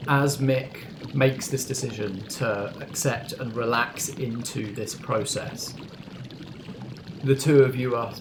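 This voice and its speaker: A man's voice: medium pitch, noisy background. A man narrating a tabletop roleplaying game as game master and host.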